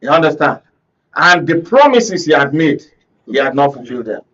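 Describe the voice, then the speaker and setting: A Nigerian man with a fairly high voice speaks as a commentator on a political panel. He is emphatic and rhetorical, punctuating his points with questions to his listeners. The broadcast sound is a little dull and lo-fi. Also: big pitch swings, normal speed, fairly bright tone. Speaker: panel guest, conflict reporter. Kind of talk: political commentary on a talk show. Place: radio and TV studio discussion.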